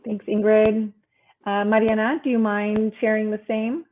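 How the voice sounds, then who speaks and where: Female panel moderator, mid-pitched, prepared delivery, thin telephone-band audio. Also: flat delivery, measured pace, a little hiss or hum. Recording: narrow phone-like call audio.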